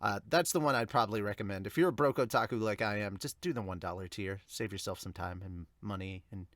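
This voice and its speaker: A man's voice: lowish voice, fast, a bit bright, no room sound, clean studio sound. A man hosting a manga review podcast, chatting to viewers in an informal, rambling way. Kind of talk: casual chat to viewers.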